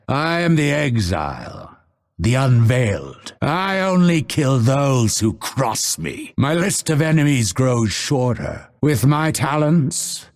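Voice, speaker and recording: A vengeful, exiled male assassin from a video game. His voice is gravelly and unhurried, swinging dramatically in pitch. He speaks with proud, theatrical menace, each line delivered as an ominous threat. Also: a little mellow, exaggerated voice. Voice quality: gravelly, raspy.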